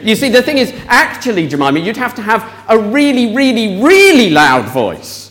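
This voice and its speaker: A man's voice: high-pitched, wildly swooping pitch, normal speed, fairly bright tone. A man leading an interactive children's talk in church, asking the audience questions.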